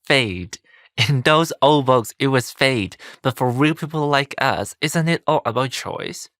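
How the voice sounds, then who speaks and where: Sceptical young man, mid-pitched, dry sound, acted. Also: expressive, a little slow, a bit bright, no echo. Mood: earnest. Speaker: actor in a student play. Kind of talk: conversational stage dialogue.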